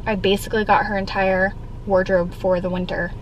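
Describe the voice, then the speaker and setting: A woman's voice: medium pitch, little pitch movement, brisk, highs cut off, slight background noise. A young woman chatting in an upbeat, casual way as a vlogger, filming herself at arm's length inside a parked car. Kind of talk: casual vlog chat. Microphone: camera held at arm's length.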